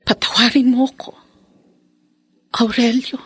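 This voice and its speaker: A woman playing a gentle, repentant middle-aged mother with a weak, breathy voice in a Filipino radio drama. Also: fairly high pitch, little pitch movement, unhurried, dry recording. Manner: gentle, pleading. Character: repentant, grateful mother recovering from harm.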